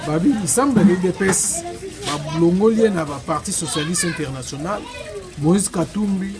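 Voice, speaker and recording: A man's voice: sits high, moderate pace. A man interviewing people, asking brief, probing questions and cutting in.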